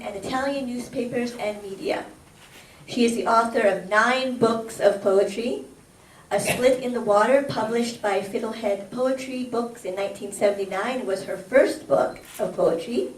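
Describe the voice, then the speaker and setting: High-pitched woman reading, roomy distant sound. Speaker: poet introducing the featured speaker. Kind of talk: prepared tribute and biography read aloud. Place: live event room, recorded from a distance. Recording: echoey, distant microphone, faint background noise.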